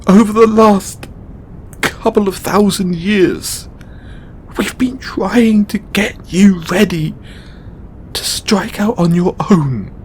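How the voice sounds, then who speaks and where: Casting: male actor for a benevolent, overburdened god in audio roleplay; slow, halting, emotional delivery, some rumble in the recording. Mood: earnest, sorrowful. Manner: halting, emotional, earnest pleading. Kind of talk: intimate dramatic audio roleplay monologue.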